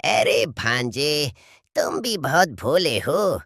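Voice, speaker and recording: Smug shady uncle bird, super deep n drawn out, dry sound. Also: female voice, big pitch swings, slow, vocal fry, fairly bright tone, slightly rough, dry recording, exaggerated voice. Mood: sly, smug. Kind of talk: children's cartoon acting.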